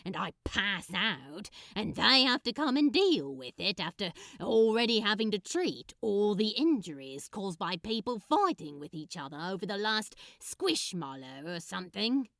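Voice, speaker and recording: A woman voicing a flustered, smitten man with a sarcastic streak and a halting, drawling delivery in a fan fiction reading. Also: medium pitch, big pitch swings, fairly bright tone, no room sound, clean studio sound. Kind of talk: fan fiction audiobook dialogue.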